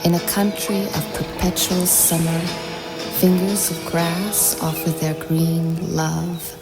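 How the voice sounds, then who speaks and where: A woman reading poems slowly, drawing out one word at a time. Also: lowish voice, level tone. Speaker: poetry reader. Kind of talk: slow poetry reading over a soundscape. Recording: voice over loud music bed.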